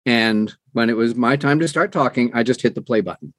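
A man's voice: mid-range voice, brisk, fairly bright tone, no room sound. An older man, an audiobook narrator, talking conversationally as an interview guest and telling anecdotes.

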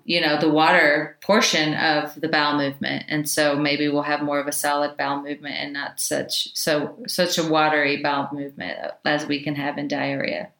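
Low-voiced, loud woman in an echoey room. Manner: loud voice, chatty with many asides. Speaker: Chinese medicine teacher. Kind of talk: informal teaching talk.

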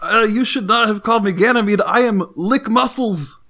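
Man, high bright voice, dry wordy reading, comedic podcast. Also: normal speed. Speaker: co-host reading posts. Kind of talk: casual comedic chat.

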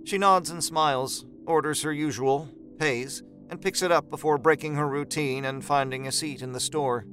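Male narrator, slightly high register, scripted horror fiction, music under the read. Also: steady tone, normal speed, a bit bright. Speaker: narrator of an audio drama.

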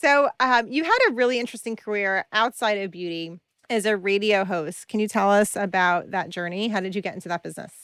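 A woman's voice: mid-range voice, brisk, few pauses, fairly bright tone, clean tone, no echo, clean studio sound. A woman hosting an interview, asking enthusiastic, admiring questions in a casual conversational way. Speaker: interview host.

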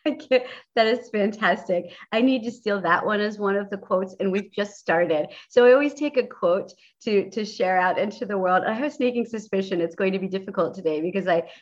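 A woman's voice: mid-range voice, lo-fi sound. A woman hosting a podcast interview, upbeat and enthusiastic as she introduces and questions her guest. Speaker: podcast host.

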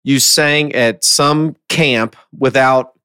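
A man's voice: mid-range voice, fairly even intonation, takes it slowly, bright, forceful tone, clear, resonant tone, dry recording, clean studio sound. A man chatting casually as the host of a podcast.

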